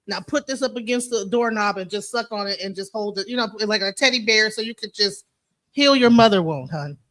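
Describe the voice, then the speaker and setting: A woman in her forties talking bluntly and profanely in a loud, mocking way during a casual discussion. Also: mid-range voice, dry recording. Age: 40s.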